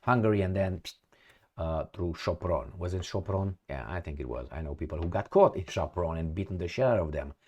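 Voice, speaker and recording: A middle-aged man with a Romanian accent speaks in a lowish voice and delivers a ranting political commentary. He swears freely and jabs at his targets with sarcastic rhetorical questions. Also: expressive, a little fast, a little mellow, muffled recording, a little hiss or hum.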